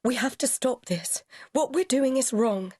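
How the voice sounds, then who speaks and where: A woman's voice: a little high, a little slow, mellow, no room sound, pitched above the performer's own voice. An anguished, respectable young woman, acted out by a female audiobook reader, pleads earnestly and politely. Her voice is lifted a little, and the sound is dry. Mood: anguished, pleading. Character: anguished, respectable young English secretary in secret love affair.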